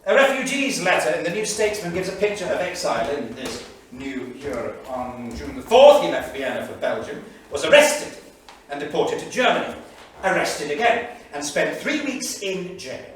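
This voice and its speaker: A male actor plays an earnest 1930s diarist on stage, with a fairly high, very animated voice. His delivery is emphatic and deliberate. It sounds distant in a very reverberant room. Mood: grave. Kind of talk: theatrical reading of a journal. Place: small theatre studio. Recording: very echoey theatre, distant mic, faint noise.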